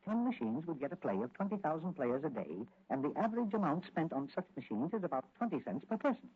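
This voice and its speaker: An actor playing a learned older doctor and advisor in a 1940s radio drama, explaining things in a deliberate, pedantic way with small hesitations. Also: male voice, fairly high pitch, very animated, breathy edge. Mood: emphatic, precise. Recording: tinny, scratchy 1940s radio recording.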